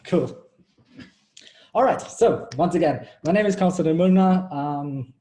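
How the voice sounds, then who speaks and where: Man in his thirties from Austria, mellow. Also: a little high, a little slow, slight background noise. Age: 30s. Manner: a little flustered by screen-sharing trouble, then steady and explanatory. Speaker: bootcamp graduate presenting a project. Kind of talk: live-streamed project presentation. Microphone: video call.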